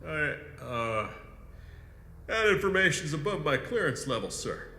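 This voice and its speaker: An actor playing a dutiful, loyal security chief in a sci-fi audio drama, formal, deferential and hesitant. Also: male voice, fairly high pitch, sing-song, measured pace, pushed and bright, heavily acted. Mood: uncertain. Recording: echoey hall, faint background noise.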